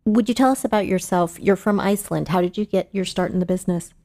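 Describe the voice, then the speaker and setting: A woman's voice: mid-range voice, fast, dark tone. A woman hosting a podcast, putting interview questions to a guest.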